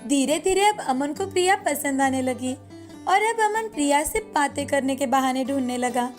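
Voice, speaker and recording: A woman's voice: sits high, animated, moderate pace. A woman narrating a scripted moral story for a cartoon, in the manner of a storyteller. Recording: music bed behind the voice.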